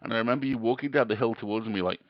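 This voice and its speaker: A man co-hosting a livestream on a close headset mic, telling anecdotes with wry, wordy, deadpan humour. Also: mid-range voice, racing, no room sound. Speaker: livestream co-host. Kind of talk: casual storytelling banter. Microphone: close headset microphone. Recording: muffled headset audio, dull.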